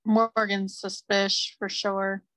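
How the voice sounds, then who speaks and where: A woman's voice: mid-range voice, level tone, takes it slowly, a bit bright, dry recording. A woman on a podcast panel, chatting casually about a TV episode.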